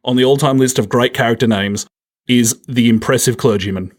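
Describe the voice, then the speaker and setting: A man's voice: medium pitch, a little fast. A man co-hosting a film podcast in casual conversation, jokey and emphatic, often repeating himself to make a point.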